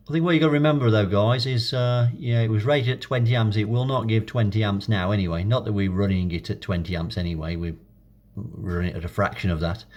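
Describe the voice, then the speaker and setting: A man presents a hobby demonstration. His delivery is casual and unhurried, with hesitations as he thinks out loud while he works. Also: lowish voice. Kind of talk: casual hands-on demonstration narration.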